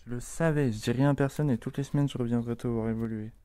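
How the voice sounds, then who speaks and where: A man playing a small, curious earthworm in an audio fiction, earnest and quietly determined. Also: medium pitch, fairly even intonation, fast, dry recording, close to an everyday voice. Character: small, curious, devoted earthworm digging tunnels.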